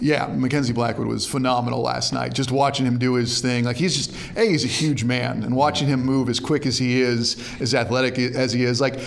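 A man's voice: mid-range voice, fairly even intonation, brisk, gravelly, hardly any pauses, a little hiss or hum. A man hosting a sports show, interviewing in a conversational, enthusiastic way.

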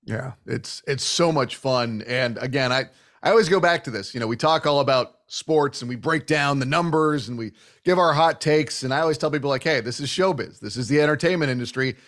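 A man's voice: medium pitch, a little fast, fairly bright tone. A man hosting a conversational sports interview, speaking with enthusiasm and emphasis. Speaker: sports show host.

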